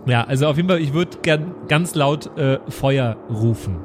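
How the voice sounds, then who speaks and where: A man's voice: fairly high pitch, normal speed, over music. A man playing a role-playing game, bantering casually in a rambling, stubborn way.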